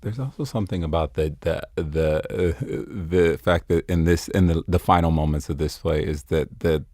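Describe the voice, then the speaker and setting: Guy with a low voice chatting on a panel, dry close sound, kinda stop and start. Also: moderate pace, warm tone, no room sound. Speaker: panelist discussing a play. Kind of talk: casual discussion.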